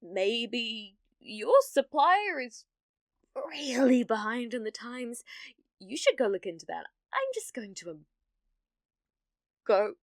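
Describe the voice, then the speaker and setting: A woman's voice: on the high side, very animated, slow pace, pauses often, no room sound, clean studio sound, heavily acted. A woman with a British accent playing a clumsy, obsessive, lovestruck young witch in an ASMR roleplay, with hesitant, awkward speech. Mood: flustered, nervous. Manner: flustered, stammering, hesitant, breathy murmuring asides.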